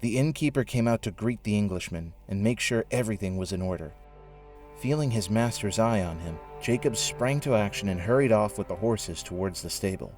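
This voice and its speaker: A low-pitched male narrator tells a scripted story for an audio drama. His delivery is measured, and music plays beneath it. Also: a little mellow.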